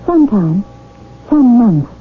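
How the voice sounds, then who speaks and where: A woman's voice: fairly high pitch, sing-song, slow. An actress playing a vague, guileless young Englishwoman, detached and hesitant, in a period radio drama. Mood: vague, distant. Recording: tinny old radio broadcast, faint noise.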